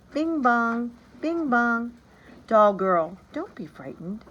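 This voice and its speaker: A woman voicing an eccentric old godfather with a rasping, monotonous sing-song voice. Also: mid-range voice, muffled recording, a little hiss or hum.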